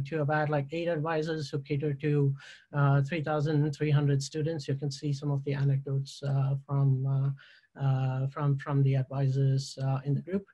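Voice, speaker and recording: Guy presenting slides on a video call, kinda high voice, lots of uh's and restarts. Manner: halting, many "uh" fillers and restarts. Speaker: university tech presenter.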